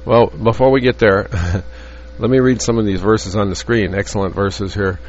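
A man teaching from the Bible in an earnest, halting way, with frequent restarts and fillers. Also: on the low side, fairly even intonation. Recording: dull lo-fi audio with faint hum.

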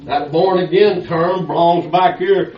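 A man's voice: on the high side, steady tone, measured pace. An older man preaching a sermon, pressing his listeners with rhetorical questions. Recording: echoey, distant, dull lo-fi sound.

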